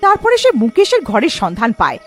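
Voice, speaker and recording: A woman's voice: fairly high pitch, huge pitch swings, quick pace, husky, soft background music. A woman narrating a scripted cartoon story.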